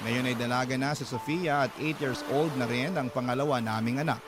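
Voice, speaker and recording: A man playing a weary, long-suffering father, narrating his story earnestly in measured, scripted radio drama style. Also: medium pitch, lightly acted. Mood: reflective, regretful. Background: background music.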